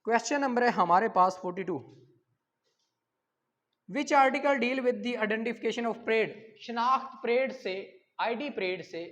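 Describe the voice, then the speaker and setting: A male teacher with a Pakistani accent and a notably high voice. He lectures in a didactic way, posing questions, answering them and repeating key terms. The recording carries a long, echoing reverb. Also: slow pace, long pauses, a bit bright. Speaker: law teacher. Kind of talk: exam-prep lecture, reading questions and explaining.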